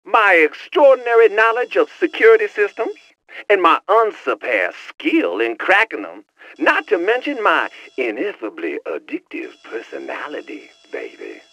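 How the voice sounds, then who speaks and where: A cocky, slangy hacker sidekick. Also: male voice, high voice, wildly swooping pitch, moderate pace, fairly bright tone, dry recording, lo-fi sound, heavily acted. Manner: boastful, teasing, slangy street talk. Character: cocky young male hacker sidekick on a headset. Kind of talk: video game cutscene voice acting.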